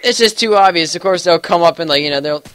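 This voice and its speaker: A young man with a stuffy, allergy-congested voice talks through gameplay in a loose, rambling way. His voice sits a little high for a man, and he keeps hedging with fillers as he goes. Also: fast, fairly bright tone, clean tone. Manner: rambling, full of fillers and hedges. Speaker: gaming YouTuber. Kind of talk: casual gameplay commentary.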